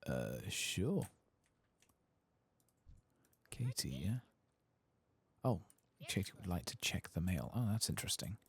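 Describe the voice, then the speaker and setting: A soft-spoken man hosting an ASMR game playthrough, muttering to himself and at times dropping to a whisper. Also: low-pitched, big pitch swings, slow pace, long pauses, husky. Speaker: host of a game playthrough channel.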